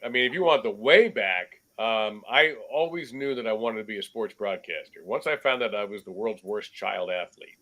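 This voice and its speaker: Low bright-voiced older male storyteller. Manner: relaxed anecdotal storyteller, measured. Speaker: podcast guest, sports broadcaster. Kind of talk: casual remote interview storytelling. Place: cluttered home room.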